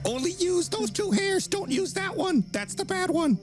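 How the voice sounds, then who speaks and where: A man voicing a shrunken tiny man with a squeaky voice. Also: sing-song, normal speed, soft background music. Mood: fussy. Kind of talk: tabletop game character voice.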